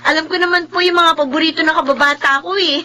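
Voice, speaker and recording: High-voiced humble young Filipina vendor. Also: female voice, steady tone, fast talker, sharp tone. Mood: polite, friendly. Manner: polite, plaintive. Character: humble, wistful young woman selling rice cakes. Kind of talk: melodramatic Filipino audio drama acting.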